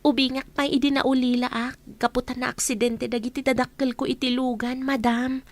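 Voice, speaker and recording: A woman's voice: sits high, level tone, moderate pace, no echo, close to an everyday voice. A woman playing a humble, earnest young housemaid in a radio drama, speaking respectfully and plainly. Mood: meek, sad. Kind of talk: Ilocano radio drama acting.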